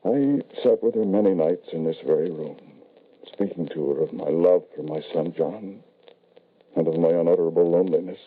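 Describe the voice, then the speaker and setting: A very low, brooding male voice on a narrow, vintage radio recording. He is a lonely, hardened widower grieving his son, formal and deliberate, pausing heavily for effect. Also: breathy edge. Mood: brooding, sorrowful. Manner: formal, deliberate, dramatic pauses. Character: embittered, lonely grieving widowed father, hard and obsessive. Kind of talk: 1940s radio drama acting, first-person narration.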